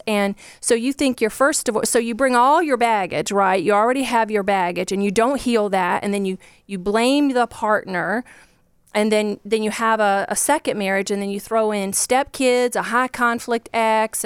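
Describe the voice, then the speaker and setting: A woman's voice: mid-range voice, clean tone, dry recording, studio quality. A woman from Virginia with a Southern accent, a divorce coach speaking earnestly and passionately as a podcast guest. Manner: earnest, passionate, speaks in long run-on explanations.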